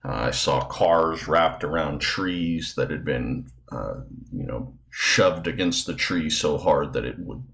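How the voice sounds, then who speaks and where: A man with an extremely low voice, full of fry. He talks like a teacher leading a casual lesson, halting as he puts his thoughts together. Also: a bit bright.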